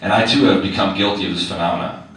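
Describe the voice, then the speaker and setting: A man's voice: low voice, fast. A male teacher reading his own personal essay aloud to a live audience, wry and conversational, with direct questions to the listeners. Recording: very echoey hall, distant mic, faint noise.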